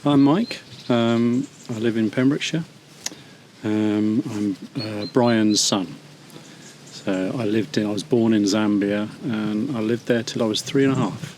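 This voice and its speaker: A man's voice: lowish voice, a little slow, a little mellow, slight background noise. A man in his fifties recalling his childhood, thoughtful and hesitant, with frequent fillers and restarts. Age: 50s. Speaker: interviewee sharing memories. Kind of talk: reflective personal testimony.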